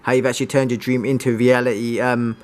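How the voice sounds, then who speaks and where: Man interviewing, mid-pitched. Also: level tone, fast. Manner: informal, often says "man" and checks back with tag questions. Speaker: interviewer and vlogger. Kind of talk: casual interview chat.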